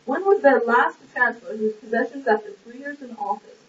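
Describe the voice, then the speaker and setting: A woman acts a stubborn, loyal duke in a stage drama, blunt and quick to argue, in a fairly high voice. The sound is muffled and comes from well back from the microphone. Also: animated, slight background noise, close to an everyday voice. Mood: indignant. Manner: blunt, exclamatory, argumentative. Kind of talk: stage-play acting in an amateur puppet show. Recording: echoey, muffled, distant lo-fi recording.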